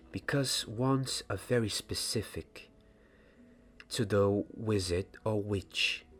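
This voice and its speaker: A young man playing a gentle, mysterious wizard wand maker in a soft, half-whispered ASMR roleplay, speaking deliberately in short phrases. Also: fairly low pitch. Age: 20s. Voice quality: hushed, breathy, half-whispered. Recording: audible background hiss, small clicks.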